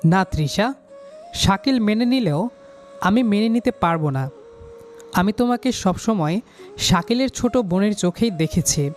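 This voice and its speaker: A man's voice: high voice, lively intonation, a little slow, long pauses, soft background music. A man voicing a stern, dutiful young man in an audio love story. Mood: firm refusal.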